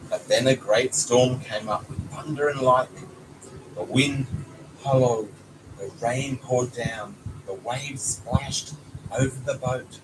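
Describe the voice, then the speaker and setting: A man's voice: mid-range voice, slow pace. A man reading a children's storybook aloud as a father would to his child, with short asides. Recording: noisy home recording with background sounds. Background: clear ambient noise, young child nearby.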